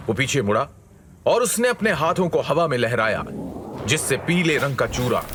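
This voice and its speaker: A man's voice: mid-range voice, fairly bright tone. A man narrating a scripted dramatic story as a storyteller, in a suspenseful manner.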